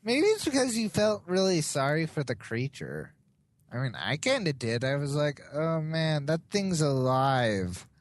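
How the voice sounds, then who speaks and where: A man's voice: fairly high pitch, sing-song, a little slow, dry recording. A young man co-hosting a podcast, speaking earnestly and enthusiastically in casual chat. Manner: earnest, enthusiastic, uses fillers. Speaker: podcast co-host.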